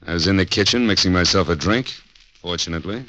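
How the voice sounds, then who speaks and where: A man's voice: sits low, fast talker, a bit bright, no echo, low-quality recording. An actor playing a cold, cocky gangster boss who speaks in clipped, threatening tough-guy talk, in 1940s radio acting style. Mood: menacing.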